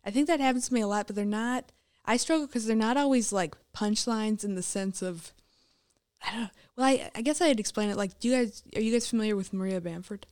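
A woman stand-up comedian from the American South chatting on a podcast, talking fast and filling her sentences with "like". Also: mid-range voice, darkish tone, no echo, studio quality. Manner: chatty, quick, lots of "like" fillers. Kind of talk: casual podcast chat.

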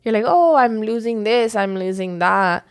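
A young woman in casual conversation, speaking with animated energy. She laughs often and leans on fillers like "like" and "honestly". Her tone stays relaxed and open. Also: medium pitch, normal speed. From United States. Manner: animated, laughing, frequent likes. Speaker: guest.

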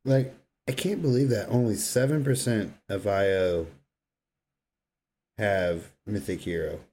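A man's voice: lowish voice, a little slow, a little mellow. A man co-hosting a casual gaming podcast, speaking in brief, agreeing interjections. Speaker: podcast co-host.

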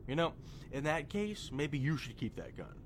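An actor playing an excitable, apologetic young friend in an audio drama, questioning and hesitant in manner. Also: male voice, fairly high pitch, moderate pace, noisy background, close to an everyday voice. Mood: sheepish, cooperative. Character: jittery, apologetic young friend named Tavy, easily amazed. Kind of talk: immersive audio drama roleplay acting.

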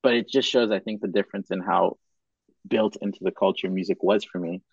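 A young man from Madagascar hosting a podcast, talking in a relaxed, casual way and restarting his sentences as he thinks. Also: low voice, fairly quick, creaky, slightly rough, dry recording, highs cut off. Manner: relaxed, says bro and dope a lot, thinks aloud with restarts. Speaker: podcast host.

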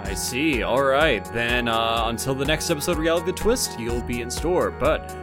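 A man's voice: mid-range voice, moderate pace, music in the background. A man co-hosting a podcast in casual, comedic banter, with a playful, giggly manner. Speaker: podcast co-host.

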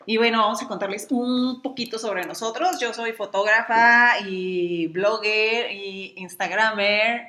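A chatty Mexican woman with a bright, forward voice, co-hosting a podcast and teasing her partner as she talks. The room around her is echoey. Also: mid-range voice, steady tone, measured pace, hardly any pauses. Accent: Mexican Spanish. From Mexico (Mexicali, Baja California). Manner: chatty, laughing often, playful teasing. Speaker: podcast co-host. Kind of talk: casual chat.

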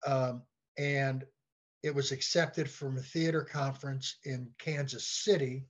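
An American man chatting casually as an interview guest, telling anecdotes in a halting way and often repeating words. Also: mid-range voice, steady tone. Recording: dull, lo-fi call-like audio.

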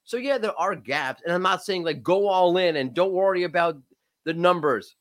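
A man's voice: sits high, animated, a bit bright. A man in his late thirties with a cold and a cracking voice, talking emphatically as an expert guest in a casual discussion. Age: 30s. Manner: emphatic, quick, frequent self-restarts. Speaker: podcast guest, SEO expert.

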